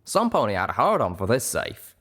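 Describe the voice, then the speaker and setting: A man acts a folksy cowboy stallion from an audiobook, drawling and teasing. His wry delivery swings up and down in pitch. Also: wildly swooping pitch, brisk, sharp tone, full character voice. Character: Calamity, teasing male cowboy-like pony companion.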